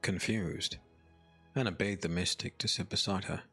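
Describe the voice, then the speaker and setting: A man narrating a scripted dark fantasy audiobook in a measured, literary storytelling manner. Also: sits low, fairly even intonation, husky, soft background music.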